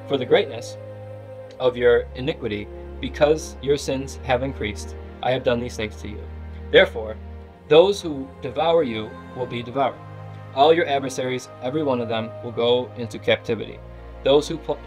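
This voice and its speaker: A man reads scripture aloud as a Bible reader. His pitch sits in the middle range for a male voice, and music plays clearly behind him. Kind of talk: scripture reading.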